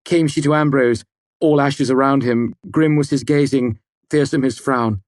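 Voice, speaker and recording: A man's voice: mid-range voice, fairly even intonation, moderate pace, no echo, studio quality, pitched above the performer's own voice, natural voice. A man voicing a shy, bookish young man who talks earnestly. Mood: sonorous recital. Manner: earnest, slightly flustered.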